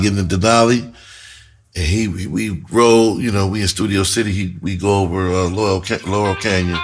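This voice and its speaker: A man telling a personal story in a casual, animated way, with slangy, profane talk and phrases repeated for emphasis. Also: low voice. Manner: breaks into laughter often while talking. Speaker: screenwriter and performer telling his own story.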